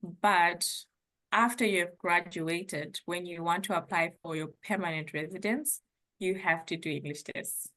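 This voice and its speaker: Young woman from Zimbabwe, slightly low voice, chatty guest who hedges and laughs. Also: steady tone, unhurried, fairly bright tone. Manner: hedging, chatty, laughs often. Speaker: guest, former international student. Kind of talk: casual interview chat.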